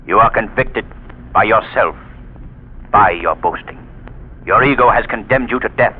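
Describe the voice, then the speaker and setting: An actor playing a mysterious, commanding male avenger in a 1930s radio drama, speaking in a stern, deliberate manner. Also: fairly low pitch, slightly rough. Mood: ominous. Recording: tinny old 1930s radio recording with music.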